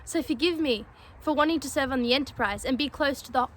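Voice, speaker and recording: A woman performs a young female space cadet with a high voice. She is defiant and grieving, speaking with bitter emotion in a dramatic sci-fi monologue, leaning on words and leaving pauses between them. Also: fairly bright tone. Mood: angry, defiant.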